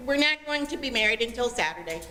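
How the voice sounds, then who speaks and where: A woman's voice: on the high side, animated, quick pace, lightly acted. A woman acting a dreamy, melodramatic seventeen-year-old daughter in a 1940s-style radio comedy. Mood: blithe. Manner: gushing, dramatic, petulant. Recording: reverberant stage, faint noise.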